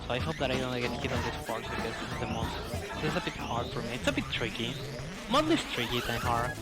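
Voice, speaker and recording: A man's voice: medium pitch, expressive, quick pace, over a noisy crowd. A man giving live speedrun commentary in non-native English phrasing, talking through what he is doing as he plays. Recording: game music behind the voice. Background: loud video game music.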